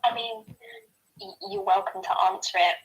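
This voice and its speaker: A woman's voice: fairly high pitch, sing-song, normal speed, gravelly, husky, highs cut off. A woman in a casual online voice chat, speaking hesitantly with many fillers. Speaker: voice chat participant.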